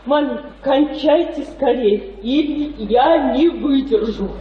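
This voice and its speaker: Proud young daughter, reproachful and intense, high voice, set back from the mic, radio drama. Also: female voice, takes it slowly, crowd noise. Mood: indignant disgust. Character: proud, indignant young daughter of a scheming mother. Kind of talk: Russian radio play acting. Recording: echoey, distant from mic, dull sound.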